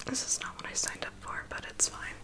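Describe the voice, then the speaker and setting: Woman playing a whispering, gossipy vampire's assistant. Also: close to an everyday voice. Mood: weary, confiding. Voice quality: soft, breathy whisper. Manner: confiding, gossipy, unhurried. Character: chatty, gossipy, weary female assistant to a vampire. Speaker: ASMR performer. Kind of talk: ASMR personal attention roleplay.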